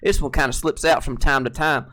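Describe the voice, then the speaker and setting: Soft-voiced man chatting casually on stream. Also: mid-range voice, fairly quick, faint music behind. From Southern United States. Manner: relaxed, rambling, laughs often, many fillers. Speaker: streamer and host. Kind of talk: casual live-stream chat.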